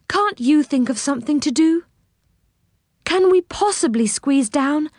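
Woman reader as small tearful female fairy for children's storybook: high-pitched, gentle, plaintive, very expressive. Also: no echo, clean studio sound.

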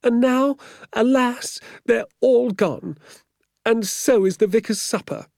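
A man voicing an indignant, excitable British vicar's wife in a dramatic, wailing manner for an audiobook. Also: high-pitched, fairly quick, no echo, clean studio sound, pitched above the performer's own voice. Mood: wailing lament. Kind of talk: audiobook character voice.

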